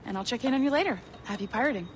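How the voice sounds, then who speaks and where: A woman playing an upbeat, friendly office supervisor in a video game, with a brisk, welcoming, chatty manner, music behind her. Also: fairly high pitch, expressive, background noise, natural voice. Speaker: supervisor showing a new hire around. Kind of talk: video game cutscene acting.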